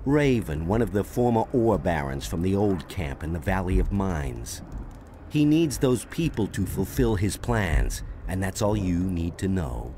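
An actor in a video game playing a smug, menacing bandit leader with a sardonic, taunting delivery. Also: male voice, sits low, big pitch swings, measured pace, mellow, slight background noise, heavily acted. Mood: grim.

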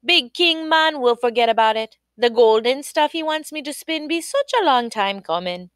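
A gentle young queen from a storybook, as a woman storyteller puts her on. She coaxes and comforts in a high, sing-song lilt. Her voice is close and dry. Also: animated, normal speed, clear, resonant tone, no room sound. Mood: soothing.

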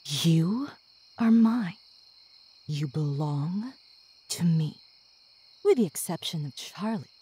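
A woman playing a sadistic, possessive female demon in an ASMR roleplay, theatrical, slipping between breathy whispers and menacing outbursts. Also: on the low side, lively intonation, takes it slowly, pauses often, dark tone, full character voice. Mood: possessive rage. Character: sadistic, obsessive, possessive female demon stalking her pet.

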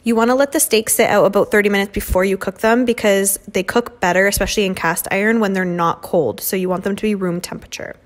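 A woman's voice: mid-range voice, fairly even intonation, quick pace, few pauses. A young woman in her twenties giving a casual, chatty cooking voice-over full of "um" and "like" fillers. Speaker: beauty vlogger cooking at home. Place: home kitchen.

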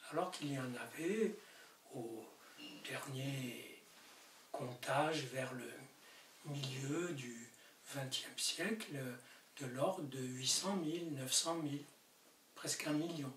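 An older man from Morocco, a native Arabic speaker, explaining his book to camera in a study, talking animatedly with emphatic peaks. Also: a little high. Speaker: author. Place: book-lined study indoors. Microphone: camera mic from a distance. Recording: roomy distant camera audio with hiss.

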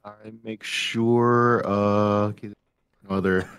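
A man's voice: lowish voice, steady tone, extremely slow, fairly bright tone, clear, resonant tone. A man moderating a question-and-answer panel in a casual way. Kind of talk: casual hosting.

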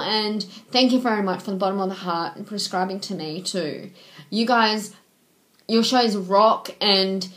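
A young woman speaks to her webcam from a small bedroom, her voice roughened by a cold. She talks earnestly and a little rambling, filling gaps with "um" and thanking viewers over and over. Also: mid-range voice, fairly bright tone. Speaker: vlogger. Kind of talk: casual thank-you messages to viewers. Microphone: webcam at desk.

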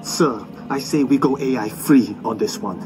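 An actor playing a blunt, sceptical soldier in a sci-fi game, with a colloquial military way of talking. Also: male voice, medium pitch, moderate pace, music in the background, close to an everyday voice. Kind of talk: cinematic sci-fi game acting. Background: loud dramatic score.

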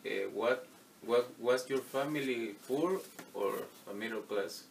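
A man asking short oral history questions with brief, quiet acknowledgements. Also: medium pitch, unhurried, vocal fry. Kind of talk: reading interview questions. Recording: distant from the mic, faint room noise.

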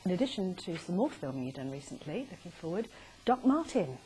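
A woman's voice: lowish voice, big pitch swings, fairly quick, crowd noise, rough recording. A middle-aged woman, interviewing a guest live on stage. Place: theatre stage in an arts centre, before an audience. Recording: live stage recording with faint noise.

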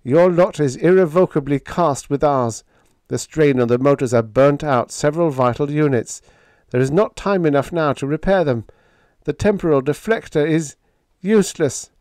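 A man voicing an earnest, excitable young male spaceship lieutenant with a formal, emphatic delivery in a pulp science fiction story. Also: a little high, moderate pace, no echo, studio quality, pitched above the performer's own voice, natural voice. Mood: sorrowful.

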